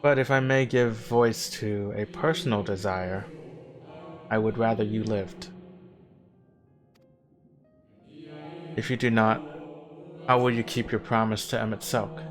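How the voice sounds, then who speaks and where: An actor playing the courteous, wistful spirit of an ancient man in a video game cutscene, speaking in formal, measured, gently wry phrases. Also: on the low side, fairly even intonation, close to an everyday voice. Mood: gently solemn. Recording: game dialogue with music underneath. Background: background music.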